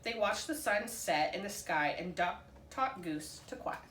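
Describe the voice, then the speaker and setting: A woman reading a children's story aloud as expressive narration for story time. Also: mid-range voice, measured pace, distant mic. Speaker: storytime reader. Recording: roomy echo with faint background noise.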